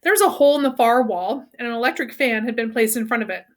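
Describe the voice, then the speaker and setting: A woman's voice: fairly high pitch, lively intonation, fast talker. A woman reading a children's novel aloud as a storyteller. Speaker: read-aloud reader.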